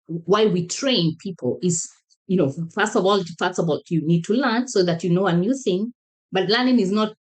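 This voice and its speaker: Enthusiastic Kenyan-accented woman guest. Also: medium pitch. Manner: warm, enthusiastic, often restarts phrases. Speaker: interview guest. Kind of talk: casual interview chat.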